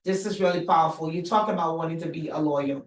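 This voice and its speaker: A woman's voice: low voice, steady tone, fast talker. Reflective middle-aged woman host, echoey. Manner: reflective, encouraging questioner. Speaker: host, mother. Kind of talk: interview-style conversation. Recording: echoey room.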